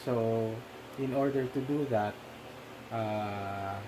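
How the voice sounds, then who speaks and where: A man with a Filipino accent leading a casual film discussion, often pausing to search for words. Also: lowish voice. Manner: halting, searching for words, mixes in Tagalog fillers. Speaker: discussion leader. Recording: noticeable background noise.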